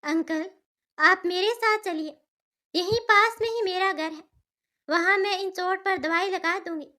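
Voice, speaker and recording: Caring, earnest young daughter for cartoon story, woman acting, very high and bright. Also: measured pace, heavily acted. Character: caring, headstrong young daughter, emotional and principled.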